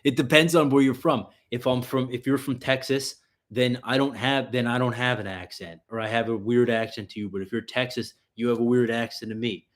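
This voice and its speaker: A man's voice: medium pitch, steady tone, brisk. A man from the US tri-state area, hosting a casual livestream Q&A in a loose, profane, rambling way with lots of fillers and restarts. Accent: New York area. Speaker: livestream host answering viewer questions.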